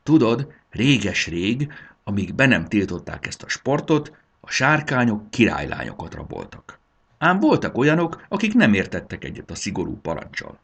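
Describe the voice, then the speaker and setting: Man playing knowing young she-dragon, husky. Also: lowish voice, very animated, a bit bright, full character voice. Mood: explaining. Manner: explaining, storytelling. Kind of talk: dramatized children's storybook reading.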